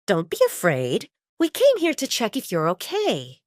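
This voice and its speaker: A woman's voice: medium pitch, wildly swooping pitch, a little slow, a little mellow, no echo, clean studio sound. A woman voicing a suspicious, over-friendly man in his early thirties in an audio drama. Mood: falsely reassuring.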